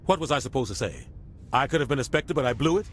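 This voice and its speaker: An actor playing a weary, dutiful middle-aged military captain in a video game, speaking gravely and earnestly. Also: male voice, medium pitch, lively intonation, quick pace, a little hiss or hum, natural voice. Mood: regretful, resigned. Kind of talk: video game dialogue acting.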